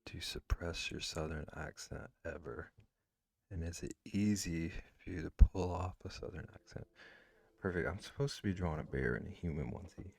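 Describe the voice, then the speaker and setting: Low gravelly-voiced man chatting on stream. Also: normal speed, a little mellow, no room sound. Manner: rambling, with many "like" fillers and restarts. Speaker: streamer drawing live and chatting with viewers. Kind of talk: casual chat, reading and answering chat.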